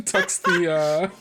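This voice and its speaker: A man running a tabletop roleplaying game as game master, improvising narration in a halting, conversational way. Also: high-pitched, background noise.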